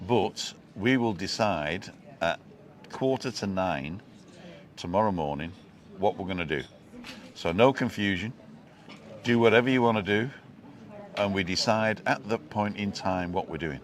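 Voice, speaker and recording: A man's voice: lowish voice, expressive, measured pace, long pauses. A man in his sixties hosting a vlog, chatting casually and teasing with dry jokes. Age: 60s. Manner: joking, teasing storyteller. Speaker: vlog host. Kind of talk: casual chat.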